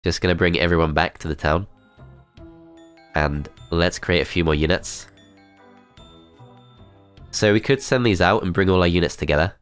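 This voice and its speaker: A man with a cold and a blocked-up, nasal voice, calmly talking through his moves in a strategy game. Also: deep voice, moderate pace, pauses often, clean tone, faint music behind. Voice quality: nasal, congested. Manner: calm, methodical, thinking aloud. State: has a cold, blocked-up nose. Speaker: gameplay commentator. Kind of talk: strategy game let's play commentary.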